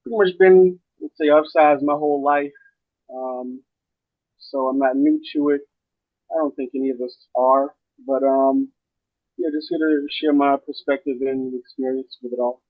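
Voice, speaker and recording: A man in his forties from Chicago talks as a guest on a radio panel. He is relaxed and pauses often. His voice comes through a tinny phone line. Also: medium pitch. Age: 40s. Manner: relaxed, speaks with pauses and fillers. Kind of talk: casual discussion.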